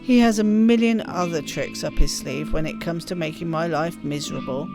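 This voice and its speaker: A woman's voice: low-pitched, normal speed, over music. A woman voicing a rebellious, sardonic teenage girl telling her story in a game. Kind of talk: first-person story reading in a game.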